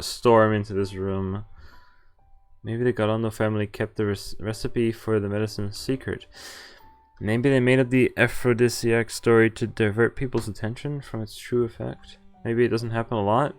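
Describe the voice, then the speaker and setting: A man reads a visual novel's lines aloud on stream, stopping often for wry, profane asides. His voice is low and smooth, with faint game music behind it. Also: flat delivery, normal speed. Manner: wry, swears and laughs between lines.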